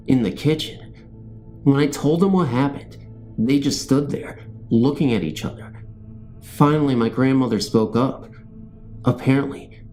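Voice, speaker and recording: A man's voice: medium pitch, dark tone. A man reading scary stories as a narrator, in a scripted storytelling style.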